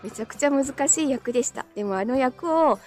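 A woman's voice: high-pitched, animated, fairly quick, a little mellow, faint music behind. A woman live streamer chatting casually and answering comments, giggly, tired and a little short of breath after being ill. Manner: giggly, often breaking into small laughs.

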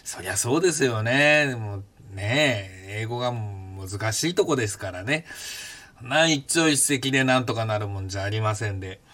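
A man in his fifties, hosting a podcast and recounting his past in a casual, blunt and animated way. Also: lowish voice, moderate pace. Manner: animated, blunt storytelling with rough casual speech. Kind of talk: casual spoken memoir.

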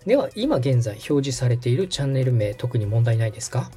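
Male podcast host, instructional walkthrough. Animated pitch, soft dark tone, polite and orderly, music bed. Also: brisk, husky. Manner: polite, methodical explainer. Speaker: podcast host and radio director giving step-by-step guidance.

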